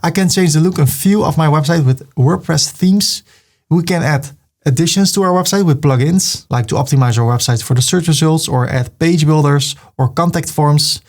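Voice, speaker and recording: A man from the Netherlands, calmly narrating a step-by-step software tutorial and describing each click as he makes it. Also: on the high side, moderate pace. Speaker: web design tutor. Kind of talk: screen-recorded software tutorial.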